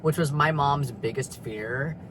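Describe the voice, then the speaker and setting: A man's voice: medium pitch, a little slow, background noise. Rambling young man vlogging from his car. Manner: rambling, confessional, heavy filler and swearing. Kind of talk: candid personal vlog. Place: car in a parking lot.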